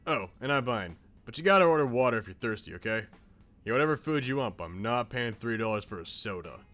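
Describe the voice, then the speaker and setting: A man's voice: mid-range voice, animated, quick pace, a bit bright, faint music behind, close to an everyday voice. A man voicing a brash, insecure but loyal eighteen-year-old slacker in a visual novel, with blunt, casual slang. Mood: brash, generous. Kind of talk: visual novel character read aloud.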